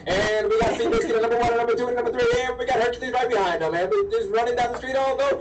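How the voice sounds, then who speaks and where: A man playing an excited horse-racing announcer, calling rapidly and urgently, picked up from a distance. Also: very high-pitched, over a noisy crowd, pitched above the performer's own voice. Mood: excited, frantic. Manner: rapid-fire calling, building to shouts.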